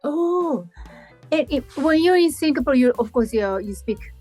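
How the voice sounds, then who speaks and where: A woman hosting an interview, chatty and warm-paced, filling pauses with frequent yeahs and simple phrasing. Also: high-pitched, lively intonation, faint music behind. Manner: chatty, frequent yeah fillers, non-native phrasing. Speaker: interviewer host. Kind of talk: casual interview chat.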